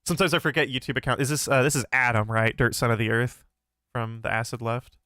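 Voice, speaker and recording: A man's voice: mid-range voice, steady tone, fast, bright, forceful tone, no echo, studio quality. A young man hosting a philosophy livestream, answering chat questions in a casual, thoughtful way with many fillers and restarts, his voice a bit nasal. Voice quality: somewhat nasal.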